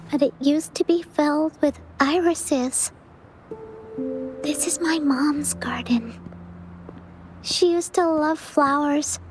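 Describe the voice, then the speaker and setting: A woman voicing a gentle, wistful young girl in a video game story, speaking softly and earnestly. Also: sits high.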